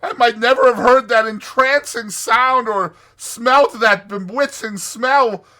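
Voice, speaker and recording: A man voicing a grandiose, besotted toad in a storybook, gushing dreamily and dramatically with drawn-out words. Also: very high-pitched, steady tone, pushed and bright, voice pushed up, exaggerated voice. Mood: rapturous, gushing. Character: grandiose, giddy male toad, besotted and theatrical.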